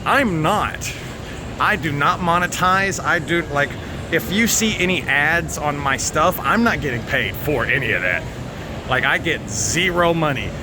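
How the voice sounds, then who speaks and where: An American man talking casually to viewers, rambling and halting, candid and sweary, into a handheld phone. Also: a little high, lively intonation. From United States. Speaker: vlogger. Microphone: handheld phone at arm's length. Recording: noisy outdoor recording with vehicle sounds.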